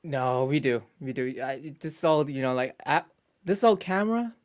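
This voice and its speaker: A man in his thirties joins a livestream as co-host, joking loosely and rambling with plenty of fillers. His voice sits at a middling pitch. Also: moderate pace. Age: 30s. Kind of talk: casual livestream chat.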